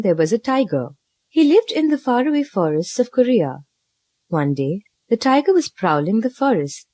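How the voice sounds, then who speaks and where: A woman narrating a children's story from a script, with an expressive, dramatic read-aloud delivery. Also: medium pitch, breathy edge, no room sound.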